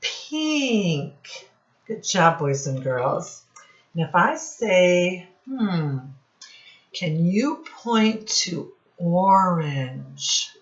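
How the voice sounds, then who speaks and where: A woman teacher speaking to young children in a singsong instructional style, with simple phrases and deliberate repetition. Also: on the low side, very animated, reverberant. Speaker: children's teacher and read-aloud host. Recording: dull, lo-fi sound.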